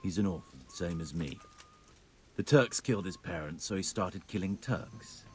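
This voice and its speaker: A man's voice: low-pitched, normal speed, darkish tone, slight background noise, lightly acted. A man playing a defiant captured medieval soldier in a video game cutscene, curt and contemptuous in his delivery. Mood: sullen.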